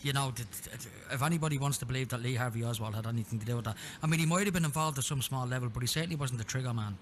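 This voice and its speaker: A man hosting a radio interview show, talking briskly with fillers and restarts. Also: mid-range voice, slight background noise.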